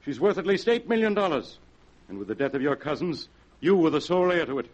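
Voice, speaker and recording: An actor playing a shocked family lawyer in a 1940s radio drama, urgent and stern. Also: male voice, a little high, very animated, quick pace. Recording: dull 1940s radio recording.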